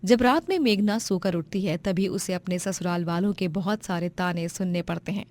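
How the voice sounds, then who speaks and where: A woman's voice: lowish voice, moderate pace, darkish tone. A woman narrating a scripted moral story.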